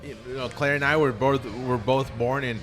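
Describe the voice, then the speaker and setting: Young male podcast host from Southern California, easygoing and rambling, mid pitch, recorded in a cafe with faint music. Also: steady tone, fairly quick. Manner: easygoing, laughs often, rambling. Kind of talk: casual interview chat.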